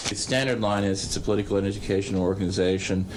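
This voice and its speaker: Male documentary interviewee, lower register, explanatory delivery, faint background noise. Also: steady tone, racing.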